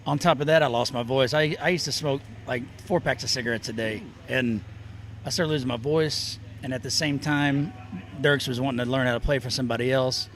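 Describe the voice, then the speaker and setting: A man's voice: medium pitch, fairly even intonation, a little fast, a bit bright, slight background noise. A middle-aged man from Oklahoma and Texas, a musician guest chatting casually and rambling through stories with many restarts at an outdoor festival. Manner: rambling, self-interrupting storyteller. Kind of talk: casual interview chat.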